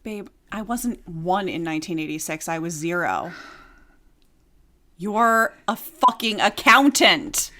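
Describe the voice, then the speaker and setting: Lively teasing woman on a podcast. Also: on the low side, slow pace. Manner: teasing, lively, laughs easily. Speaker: podcast co-host. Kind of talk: casual chat.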